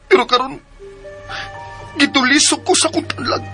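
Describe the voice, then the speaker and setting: A man's voice: very high voice, fairly even intonation, moderate pace, bright, forceful tone, faint music behind. A man acting in a radio drama as a troubled, remorseful young man.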